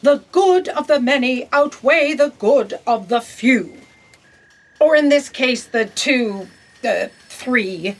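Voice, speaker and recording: A woman playing a brisk, callous, penny-pinching boss in a comedic sci-fi audio drama, bossy and matter-of-fact. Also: sits high, expressive, heavily acted. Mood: dismissive.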